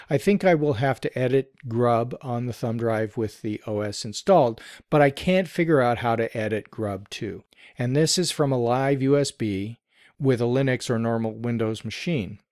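A man's voice: mid-range voice, lively intonation, a little mellow, no room sound. Man with a dry, close podcast voice. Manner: measured, explanatory. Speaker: podcast co-host. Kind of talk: casual tech podcast chat, reading listener email.

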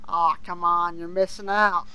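Smooth-voiced podcast co-host, halting delivery. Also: medium pitch, little pitch movement, bright, forceful tone, rough recording. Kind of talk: casual fan discussion.

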